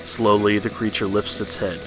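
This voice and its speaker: Low-voiced man narrating a horror storybook. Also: little pitch movement, moderate pace, rough recording. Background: music.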